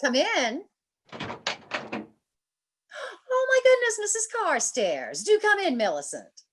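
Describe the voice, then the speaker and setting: A woman playing a long-suffering, teasing wife with dry wit and a gently nagging manner in an old-time radio comedy. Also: very high-pitched, wildly swooping pitch, slow pace, exaggerated voice. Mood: sociable, teasing. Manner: polite, gently nagging, wry.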